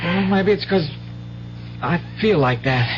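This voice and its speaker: A man's voice: sits high, lively intonation, slow. An actor playing a cautious, kindly teenage country boy with hesitant, folksy speech in a radio drama. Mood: uneasy. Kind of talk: 1970s radio drama acting. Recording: dull, hissy old radio broadcast.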